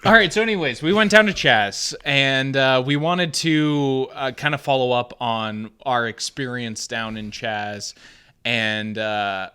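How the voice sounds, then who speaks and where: A man's voice: medium pitch, measured pace, bright, forceful tone, clear, resonant tone. A young adult man co-hosting a casual talk podcast, thinking aloud with many restarts and fillers. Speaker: podcast co-host.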